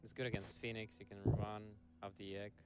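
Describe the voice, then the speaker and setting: A man's voice: on the low side, flat delivery, brisk, background noise. A man casting an esports match who gives blunt opinions, often hesitating and restarting his sentences. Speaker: esports caster. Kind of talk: match commentary. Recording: broadcast feed with clear music. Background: music.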